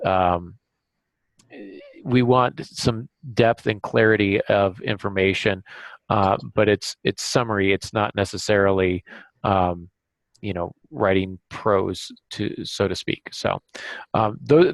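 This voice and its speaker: A man hosting a casual podcast discussion about a craft, pausing often as he picks his words. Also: fairly low pitch, no echo, rough recording. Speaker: podcast host and novelist.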